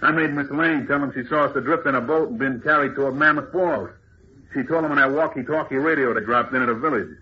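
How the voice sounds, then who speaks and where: An actor playing a tough, callous gang boss in a radio serial, bossy and sneering, with rough slangy diction. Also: male voice, mid-range voice, quick pace, close to an everyday voice. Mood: gloating. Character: tough, callous male crook boss of escaped convicts. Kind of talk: old-time radio serial acting. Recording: muffled, noisy old radio recording.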